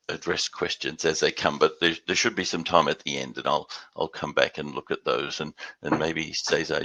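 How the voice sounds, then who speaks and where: Gravelly older Australian man presenting. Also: low voice, bright, forceful tone, no echo. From Western Australia. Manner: halting, repeats words at starts of phrases. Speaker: guest speaker. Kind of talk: online webinar presentation.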